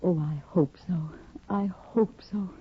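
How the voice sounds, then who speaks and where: An actress playing a timid, anxious young woman in a 1950s radio drama, with a breathy, pleading, nervous delivery. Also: on the low side, unhurried. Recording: dull lo-fi 1950 radio recording.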